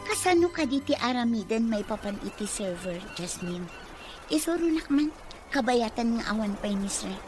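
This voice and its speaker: A woman's voice: fairly high pitch, sing-song, normal speed, a little mellow, faint music behind. A woman playing a doubtful young office worker caught up in her mother's schemes in a radio comedy-drama. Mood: doubtful, questioning. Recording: faintly noisy radio recording.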